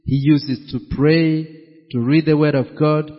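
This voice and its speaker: A pastor preaching a sermon, slow and emphatic, repeating his key phrases. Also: on the high side, steady tone. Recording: dull, echoey hall.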